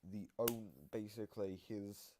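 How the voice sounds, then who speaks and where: Low voiced guy explaining game lore, halting, repeats himself, casual reaction chat. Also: level tone, mellow, a little hiss or hum.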